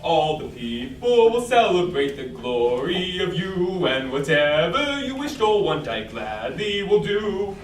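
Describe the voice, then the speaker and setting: A woman voicing a pompous old king who makes slow, lordly proclamations, recorded from far off on an echoey stage. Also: lowish voice, very animated. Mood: grandly generous. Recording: echoey stage, mic far off, faint noise.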